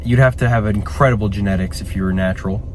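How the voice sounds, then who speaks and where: A man's voice: fairly low pitch, fast, noisy background. A young man with a congested voice, talking in a hyped, profane style as a fitness vlogger in a gym. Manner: hyped, profane bro talk, keeps adding "okay?". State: congested from a cold. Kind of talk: casual gym vlog talk.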